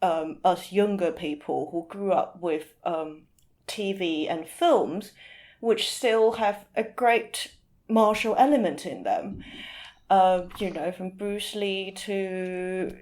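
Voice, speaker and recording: A woman's voice: lowish voice, slow, darkish tone. Searching, thoughtful woman in conversation. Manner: hesitant, searching for words, often rephrasing. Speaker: podcast guest, literary translator. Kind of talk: casual interview discussion.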